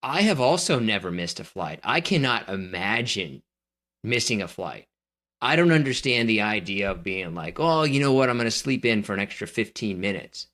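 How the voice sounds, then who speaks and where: A man's voice: on the low side, sing-song, moderate pace, fairly bright tone. A man co-hosting a casual podcast chat, teasing his partner and handing out scores. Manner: teasing, judging and rating his co-host. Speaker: podcast co-host.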